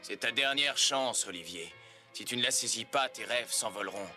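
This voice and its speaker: A stern, encouraging mentor with a medium-pitched voice. He lectures solemnly in a cartoon dub, with music clearly behind him. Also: normal speed, bright, forceful tone. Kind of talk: French animated series dub.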